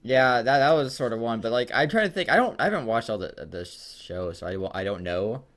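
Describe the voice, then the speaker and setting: A man co-hosting a fan fiction reading show, chatting casually and jokingly in a rambling, halting way with some stammering. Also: medium pitch, animated, fairly bright tone.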